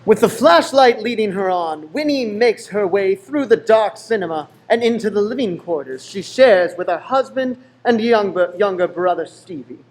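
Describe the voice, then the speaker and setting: A man's voice: sits high, lively intonation, normal speed, few pauses, low-quality recording. A man narrating a radio play in a wry, suspenseful storytelling manner.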